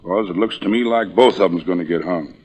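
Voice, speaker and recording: Low, husky, reluctant backwoods witness. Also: male voice, a little mellow. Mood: reluctant, guarded. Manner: halting, terse, folksy. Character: reluctant rustic male witness, laconic and drawling. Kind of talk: 1940s radio acting.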